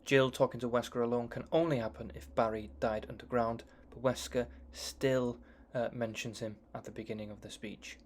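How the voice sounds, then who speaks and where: A man's voice: mid-range voice, steady tone, normal speed, hardly any pauses, background noise. A man giving explanatory commentary on a video game.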